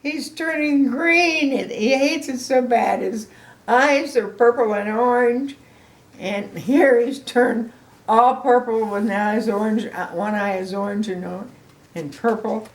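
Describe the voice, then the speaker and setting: An older woman reading a picture book aloud to children, expressive, with chatty asides to the listeners. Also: a little high, slow pace, rough recording. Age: older, a grandmother.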